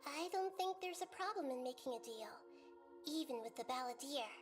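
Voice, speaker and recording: A woman voicing a small, wise young goddess who speaks in a soft, calm, measured way. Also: high voice, over music. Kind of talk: video game voice acting.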